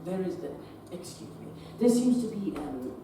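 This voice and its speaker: A man's voice: high-pitched, sing-song, moderate pace, warm tone, a little hiss or hum. A teen boy playing an awkward, earnest Victorian doctor who talks in a stammering, fussy way on a theatre stage. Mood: embarrassed. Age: teens. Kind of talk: teen stage play performance. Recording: echoey stage from far off.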